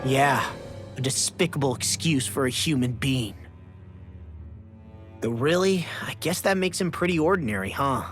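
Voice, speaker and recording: An actor playing a wry, scornful young rebel fighter in a video game, with a sardonic delivery. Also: male voice, mid-range voice, lively intonation, moderate pace, long pauses, fairly bright tone, soft background music, close to an everyday voice. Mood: wry disdain. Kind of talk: dramatic video game acting.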